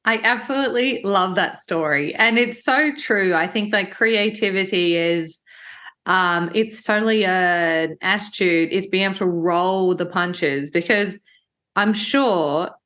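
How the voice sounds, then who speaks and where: A woman's voice: mid-range voice, unhurried, a bit bright. A woman hosting a podcast, enthusiastic and affirming in casual conversation, laughing often. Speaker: podcast host.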